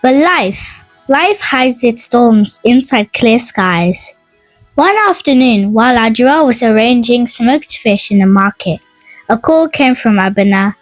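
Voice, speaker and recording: A woman narrating a scripted folk tale in a dramatic, expressive and measured storytelling manner. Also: fairly high pitch, dry recording. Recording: dry voice over music. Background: music.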